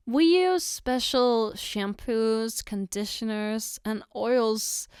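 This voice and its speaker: A smooth, fairly high voice from a woman acting as a caring girlfriend in an ASMR roleplay. She takes her time, explaining things patiently with gentle reassurance and a playful, teasing touch. The sound is dry, with hardly any room to it. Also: no echo. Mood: gentle, reassuring. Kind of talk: ASMR roleplay, soft personal attention.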